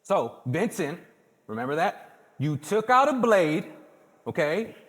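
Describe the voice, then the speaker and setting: Guy acting cocky painter telling his side in a stage play, unhurried, very up and down, big echo. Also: fairly high pitch, very animated, a bit bright, full character voice. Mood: animated storytelling. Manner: theatrical, conversational, addressing the audience. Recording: echoey theatre hall.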